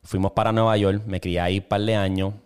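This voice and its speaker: Laid-back Puerto Rican man, low smooth voice. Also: little pitch movement, fast. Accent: Puerto Rican Spanish. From Puerto Rico. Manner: slangy, laid-back, swearing freely. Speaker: podcast host. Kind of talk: casual solo podcast chat. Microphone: single camera with mic.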